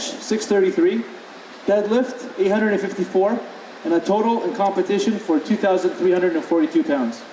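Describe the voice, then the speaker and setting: A man hosting an event, reading speaker introductions and listing numbers slowly and clearly. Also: high-pitched, darkish tone, a little hiss or hum. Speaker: event host. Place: conference hall. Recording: echoey room, distant mic.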